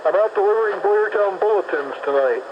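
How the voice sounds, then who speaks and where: Guy on cb radio driving his paper route, bit high voice, friendly, lots of static, muffled. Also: lively intonation, fairly quick. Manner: friendly, chatty, gives his location. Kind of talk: casual CB radio chat. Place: moving vehicle on a country road. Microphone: CB radio handset. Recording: noisy lo-fi CB radio transmission.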